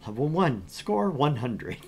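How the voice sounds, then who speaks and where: A man's voice: mid-range voice, very animated, unhurried, vocal fry, darkish tone, a little hiss or hum. A man streaming a game, chatting informally and jokingly as he plays and reads along. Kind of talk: casual livestream chat while playing and reading a game.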